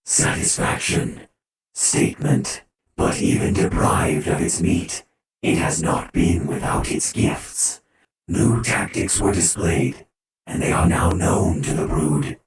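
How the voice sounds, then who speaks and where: A man voicing a ruthless, hungry alien swarm overlord in a gravelly half-whisper, clipped and announcing each feeling before he speaks, with a heavy echo effect. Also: low voice, animated, slow pace, pitched below the performer's own voice, heavily acted. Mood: menacing. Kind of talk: audiobook character voice. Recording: cavernous echo, distant.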